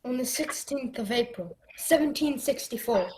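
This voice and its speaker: A woman's voice: high-pitched, expressive, normal speed, a little mellow, slight background noise, low-quality recording. A woman playing an earnest young cabin boy who narrates his adventure in a radio play. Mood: earnest, wondering. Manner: earnest, storytelling.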